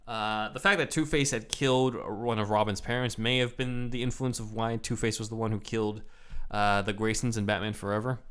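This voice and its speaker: A man with a somewhat low voice co-hosts a relaxed podcast conversation. He talks in an easygoing way, leaning on plenty of "uh" fillers as he thinks aloud. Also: a little fast, hardly any pauses, smooth. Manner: laid-back, full of "uh" fillers. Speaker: podcast co-host. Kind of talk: casual chat.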